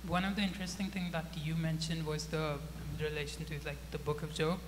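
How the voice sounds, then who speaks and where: Male audience member, slightly high pitch, casual and earnest, halting, echoing auditorium with faint noise. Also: steady tone. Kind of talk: casual, spontaneous audience comment. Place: balcony of a large auditorium, handheld microphone. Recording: echoey hall, faint hum and noise.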